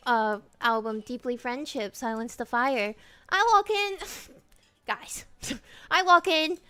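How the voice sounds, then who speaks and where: Bubbly young woman with a smooth voice. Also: sits high, slow pace, fairly bright tone. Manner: bubbly, laughs often, swears casually. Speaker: singer, guest. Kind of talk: casual chat.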